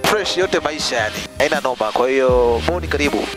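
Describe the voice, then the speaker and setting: Young male pilot-vlogger, lively mixed-language storyteller, headset mic, music bed. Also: mid-range voice, a little fast. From Tanzania. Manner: chatty, laughing, switches languages often. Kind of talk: casual storytelling mixing Swahili and English. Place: small aircraft cockpit in flight. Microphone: headset boom mic.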